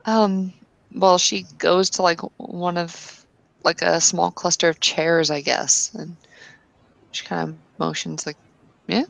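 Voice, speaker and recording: A woman's voice: fairly low pitch, level tone, slow, creaky, no room sound, low-quality recording. A woman casually narrating her character in a tabletop roleplay, hesitant and full of fillers.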